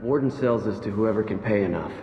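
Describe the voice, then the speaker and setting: A man's voice: on the low side, fairly quick, close to an everyday voice. A man playing a jaded, streetwise prison inmate in a video game, slangy, blunt and matter-of-fact. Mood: jaded, resigned. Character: jaded streetwise male convict, rough and resigned. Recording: echoey, muffled, faint music.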